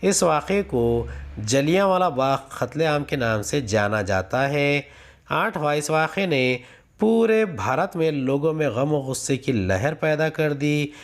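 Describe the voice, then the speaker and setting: A man teaching a lesson, reading numbered answer points aloud in a formal, measured manner. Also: fairly high pitch, animated. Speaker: teacher dictating history answers.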